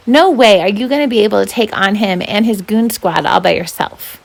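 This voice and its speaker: An anxious but sardonic seventh-grade girl as a woman reads her aloud from a children's novel. She is protective and quick to defend herself, with a middling, youthful storybook delivery. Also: medium pitch, vocal fry, close to an everyday voice. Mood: determined.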